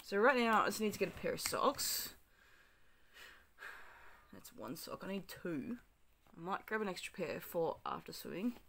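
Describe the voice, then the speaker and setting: Chatty Australian woman, croaky morning voice. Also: lowish voice, big pitch swings, normal speed, pauses often, fairly bright tone, a little hiss or hum. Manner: chatty, rambling, self-correcting with frequent ums. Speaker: vlogger. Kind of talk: casual day-in-the-life vlog. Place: small bedroom at home. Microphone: phone camera, handheld or propped up.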